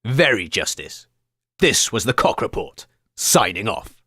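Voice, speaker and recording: A man's voice: on the low side, sing-song, a little slow, pushed and bright, a little hiss or hum. A man hosting a comedy radio show with a bombastic, theatrical manner. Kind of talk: comic radio sketch acting and hosting.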